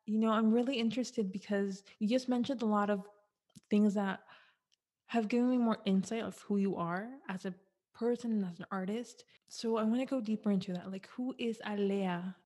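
Woman host, conversational interviewer; warm, emotional, admiring. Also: medium pitch, fairly even intonation, normal speed. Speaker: podcast host.

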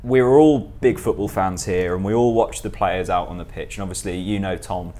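A man's voice: deep voice, fairly quick, mellow. A man hosting a podcast, interviewing his guest in an upbeat, chatty way and stacking several questions at once. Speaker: podcast host.

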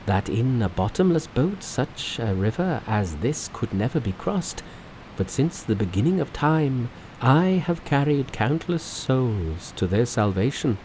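A mysterious ferryman of souls, old as time, performed by a male storyteller. He declaims grandly in a storybook manner. The pitch swings widely, over a faint layer of noise. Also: sing-song, measured pace, a little mellow, poor audio. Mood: grand, assured. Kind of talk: storybook character voice.

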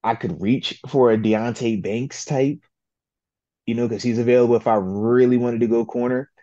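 A man co-hosting a sports talk show, giving his picks in a laid-back, slangy, deliberate way. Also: medium pitch, steady tone, warm tone, no echo, dull audio. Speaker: co-host, football analyst. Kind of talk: casual sports chat.

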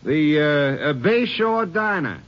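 An actor playing a folksy, stammering rooming-house landlord in a 1950s radio drama. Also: male voice, fairly high pitch, very animated, measured pace. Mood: gossipy. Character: rambling small-town male landlord, folksy. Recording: dull old radio recording, faint hiss.

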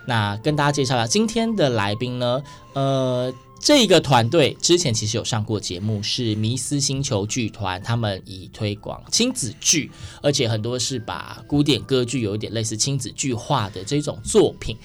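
A man's voice: mid-range voice, animated, normal speed, few pauses. Male radio host, Taiwanese Mandarin accent, mid-range voice, playful and informal interviewing, music underneath. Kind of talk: chatty hosted interview. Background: music under the talk.

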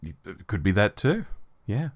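Lowish-voiced man chatting on a podcast. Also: very animated, measured pace. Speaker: podcast co-host. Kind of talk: casual fan discussion.

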